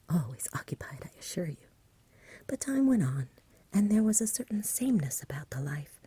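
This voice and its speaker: A woman voicing a lazy, genial, poetry-loving male dragon from a storybook in a soft whisper, with a chatty, polite, dreamy manner. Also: sits low, breathy edge, no echo, full character voice.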